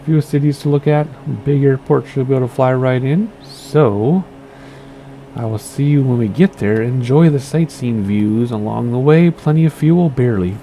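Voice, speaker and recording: A man's voice: medium pitch, moderate pace, dark tone, a little hiss or hum. A man casually commenting on a flight sim game and reading place notes aloud, losing his voice and stumbling over names with a playful, rambling manner. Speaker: gaming streamer.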